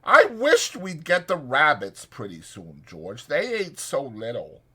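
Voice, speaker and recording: A man voicing a big, simple-minded, pleading farmhand for an audiobook, with slow, naive, apologetic rustic speech. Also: fairly high pitch, wildly swooping pitch, sharp tone, husky, voice pushed up, exaggerated voice. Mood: sad, apologetic.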